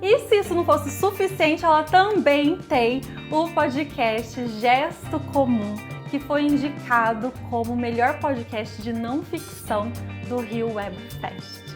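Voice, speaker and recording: A woman's voice: high-pitched, measured pace, few pauses, music in the background. A woman with a Brazilian accent from the São Paulo countryside, hosting a casual podcast interview and asking chatty questions. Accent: Brazilian Portuguese, São Paulo countryside. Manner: warm, chatty, asks questions and adds remarks while the guest talks. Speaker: podcast host.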